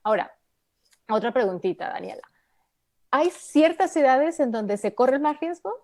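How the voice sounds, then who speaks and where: A woman's voice: high-pitched, sing-song, a little slow, a little mellow. A woman hosting a live radio health interview, asking questions and backing up her guest with frequent affirmations. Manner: supportive, with frequent backchannel affirmations. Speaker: radio host.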